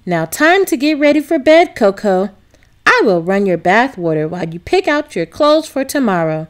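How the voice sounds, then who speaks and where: Woman reading as a gentle, reassuring storybook mother. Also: medium pitch, sing-song, normal speed, natural voice. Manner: patient, explanatory. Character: gentle, reassuring, affectionate mother of a young girl. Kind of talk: children's storybook read-aloud.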